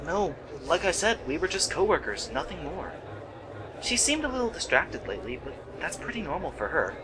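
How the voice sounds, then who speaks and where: Man acting high-voiced anxious young clerk. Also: very animated, moderate pace, crowd noise, low-quality recording. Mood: defensive. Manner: agitated, defensive. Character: anxious young male store clerk, earnest and defensive. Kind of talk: amateur radio play acting.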